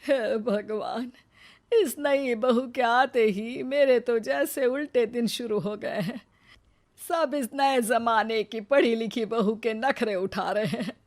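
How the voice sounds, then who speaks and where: An aggrieved older mother-in-law from a cartoon moral story, acted out by a woman. Her voice sits a little high and moves slowly, with plaintive, self-pitying drama as she complains about being sidelined.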